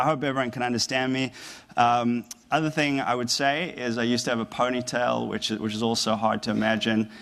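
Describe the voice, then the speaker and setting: A man in his thirties from South Africa, with a South African accent softened by years in America, giving a conversational, halting public talk to a university audience in a lecture room. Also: medium pitch. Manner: conversational, halting, often repeating words. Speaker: guest speaker.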